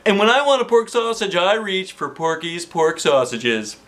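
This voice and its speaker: Man playing a high-pitched, showy school principal announcer. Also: normal speed, fairly bright tone. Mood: showy, selling. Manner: announcer-like, salesman-like, exclamatory. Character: showy male school principal hosting a televised talent show. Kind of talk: children's book character voice.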